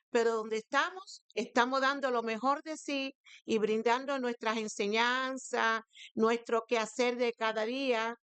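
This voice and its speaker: A woman in her sixties with a Cuban accent, answering interview questions as a guest in a formal, halting way. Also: a little high, fairly bright tone, smooth, no echo. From Santiago de Cuba, eastern Cuba. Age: 60s. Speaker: guest, teacher and education academic. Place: radio studio.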